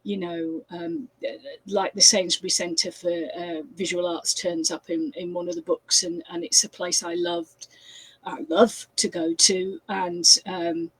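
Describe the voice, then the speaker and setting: Yorkshire woman in her sixties, husky. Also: on the low side, fairly even intonation, unhurried, rough recording. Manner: chatty, with many fillers and restarts. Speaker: crime author. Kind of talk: casual interview chat.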